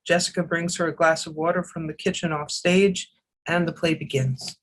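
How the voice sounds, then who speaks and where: A man reading stage directions in a plain, even way. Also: on the high side, moderate pace.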